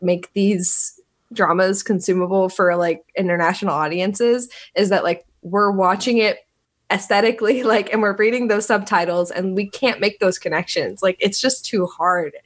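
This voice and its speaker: A woman's voice: medium pitch, normal speed. Chatty woman recapping a drama. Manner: rambling, lots of "like". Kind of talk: casual drama recap chat.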